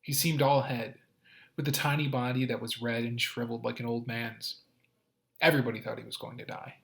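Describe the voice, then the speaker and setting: A male teacher reads a short story aloud for his students. His mid-pitched voice swells and softens with the text, giving the narration plenty of feeling. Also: fairly quick, vocal fry. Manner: expressive, rising and falling in loudness.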